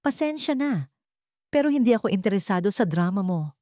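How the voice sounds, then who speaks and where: A woman's voice: mid-range voice, expressive, a little fast, a little mellow, no echo, studio quality, lightly acted. Cold, mocking mistress voiced by a woman narrator. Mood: scornful. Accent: Filipino. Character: cold, sarcastic, defiant young mistress. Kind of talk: storybook character dialogue.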